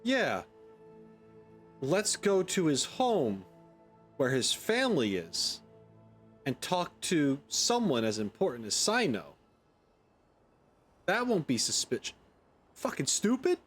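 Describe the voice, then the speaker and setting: A man's voice: fairly high pitch, wildly swooping pitch, slow, pauses often, faint music behind. A man doing casual let's play commentary as a gaming streamer, with a sceptical, sarcastic and blunt manner.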